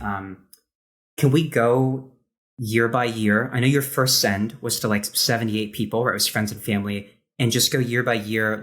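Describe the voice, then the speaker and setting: A man hosting a podcast, asking questions in a casual, halting and informal way, often repeating words as he goes. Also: fairly low pitch, a bit bright. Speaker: podcast host, interviewer. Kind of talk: casual, conversational interviewing.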